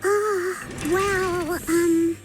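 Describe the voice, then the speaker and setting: A woman voicing a bubbly, scheming young girl sidekick in a video game, in an excitable, playful way. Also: very high-pitched, extremely slow, over music, exaggerated voice. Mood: flustered, playful. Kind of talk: animated video game voice acting.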